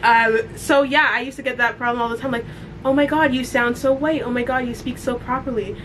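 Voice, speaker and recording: A woman's voice: steady tone, fairly quick, a bit bright. A young woman co-hosting a casual chat, speaking articulately and laughing easily. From Canada. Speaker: co-host. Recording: slight noise with low rumble.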